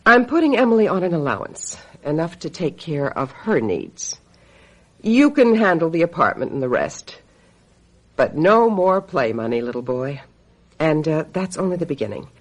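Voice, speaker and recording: Female agent role for radio play: bitter, cutting, sardonic, highly varied pitch. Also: big pitch swings, normal speed. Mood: stern, scornful. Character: sharp-tongued, embittered female talent agent, secretly a witch. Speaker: actor in a radio drama. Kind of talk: radio drama acting.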